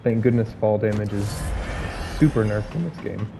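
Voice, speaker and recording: Man, gameplay commentary, hoarse, rambling, filler words, mellow tone. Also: fairly low pitch, moderate pace, a little hiss or hum. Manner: rambling, with many fillers and restarts. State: hoarse from illness.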